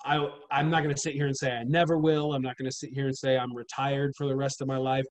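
30s guy on a webcam call, steady earnest explaining, mid pitch voice, small home room. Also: fast. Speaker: wrestling trainer and promoter. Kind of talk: casual interview over video call. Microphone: webcam on video call.

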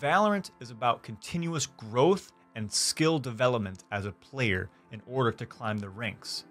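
A young man, a gaming YouTuber, delivering a scripted how-to guide in a deliberate, emphatic way, listing his points into a close studio mic. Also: mid-range voice, a bit bright. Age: young adult. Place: small home streaming room, indoors. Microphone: close studio mic on desk. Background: background music.

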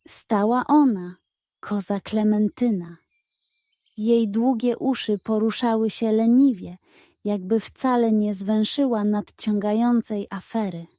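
A woman storyteller with a fairly high, mellow voice reads a children's bedtime tale. Her phrasing is slow, deliberate and expressive, in a close, dry recording. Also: no echo.

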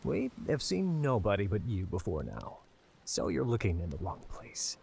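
A man's voice: lowish voice, huge pitch swings, moderate pace, vocal fry, a little mellow, a little hiss or hum, heavily acted. A man playing a defiant, protective young seafarer in a fantasy audio drama.

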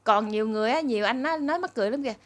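A woman's voice: on the high side, rapid-fire, a bit bright. A middle-aged woman with a southern Vietnamese accent, telling stories casually and chattily to her followers. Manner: chatty, conversational, addressing viewers as family. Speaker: channel host and storyteller. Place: small room indoors.

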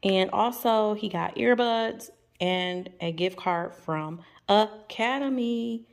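Unhurried woman vlogging casually. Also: mid-range voice, vocal fry, no room sound. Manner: folksy, playful, expressive. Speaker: lifestyle vlogger. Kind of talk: casual chat to viewers.

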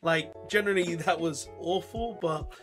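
A young man in his twenties, hoarse with his voice mostly gone, chatting casually to camera from his bedroom. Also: sits high, moderate pace, music in the background. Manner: chatty, laughing, talks straight to camera. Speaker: vlogger. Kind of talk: casual vlog chat. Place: bedroom at home. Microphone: webcam or desk camera, facing camera.

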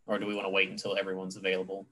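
A fairly low but very bright, forward male voice coming through a headset on a video call. A board member thinking aloud in a casual, meandering way. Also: monotone, very fast. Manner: casual, rambling.

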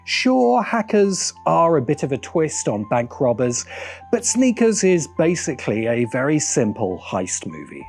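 Casting: middle-aged male essayist, wry and emphatic, animated pitch, music underneath. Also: unhurried. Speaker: video essay host. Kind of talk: film commentary video essay. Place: open-air veranda.

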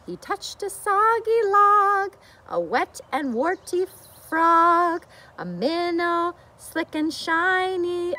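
A woman's voice: very high voice, pushed and bright. A middle-aged woman teaching young children with simple talk and singsong storytelling, recorded outdoors. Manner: asks the young viewers frequent simple questions. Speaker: children's teacher reading and singing a picture book. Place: outdoors in a leafy garden. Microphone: camera or phone a short distance away.

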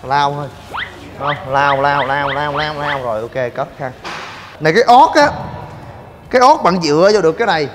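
High-voiced joking man in a gym. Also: expressive, noisy background. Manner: joking, rapid banter with loud coaching shouts. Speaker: fitness vlogger. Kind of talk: energetic gym demo vlog. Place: large indoor gym. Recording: long gym reverb with music.

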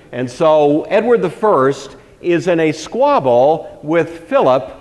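An older man gives a church history lecture in an emphatic, wryly humorous storytelling manner. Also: fairly high pitch. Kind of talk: lecture to a live group. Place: indoor lecture hall or church room, at a lectern. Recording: reverberant hall.

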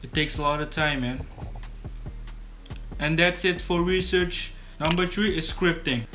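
A man's voice: a little high, a little slow, bright, forceful tone, faint music behind. A teenage boy from Suriname whose first language is Dutch, casually explaining his video process to camera in a small room studio, often restarting his words. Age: teens. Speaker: YouTube creator. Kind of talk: scripted tutorial vlog to camera. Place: small bedroom studio indoors.

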